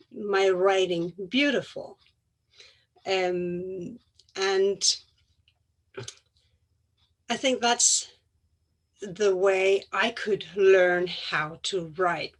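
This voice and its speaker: A middle-aged woman with a Danish accent, giving a personal talk in a halting, reflective way with pauses mid-phrase. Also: mid-range voice, a bit bright. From Denmark. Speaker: occupational therapist and craniosacral therapist.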